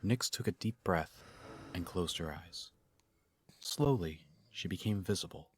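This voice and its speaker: A man giving measured, scripted narration for an audio drama. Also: deep voice, expressive, slight background noise. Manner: measured, storytelling. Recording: drama playback with music.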